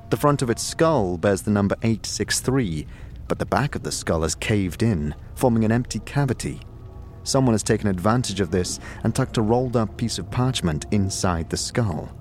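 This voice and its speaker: A man narrating a scripted second-person audio drama in a deliberate storytelling manner. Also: on the low side, darkish tone. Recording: music behind the voice. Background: background music.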